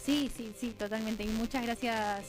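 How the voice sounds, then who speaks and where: A woman's voice: fairly high pitch, fairly even intonation, normal speed, poor audio. Argentine woman explaining on radio, music behind. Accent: Argentine Rioplatense. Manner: discursive, thinking aloud in long chained sentences. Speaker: interview guest, radio drama producer. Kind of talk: conversational explanation in a radio interview. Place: radio studio.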